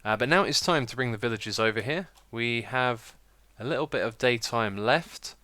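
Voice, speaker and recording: A British man giving casual, chatty gameplay commentary as a let's play host, with a slightly croaky voice and a self-mocking manner. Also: medium pitch, moderate pace, bright, forceful tone, dry recording. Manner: chatty, self-mocking, laughs at own slips.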